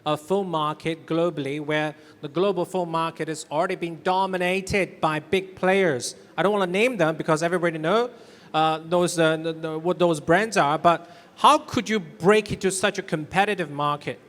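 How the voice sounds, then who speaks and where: A man's voice: on the high side, fairly even intonation, normal speed, fairly bright tone. A man moderating an onstage interview, asking questions in a conversational way. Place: stage at a tech expo. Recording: reverberant hall sound.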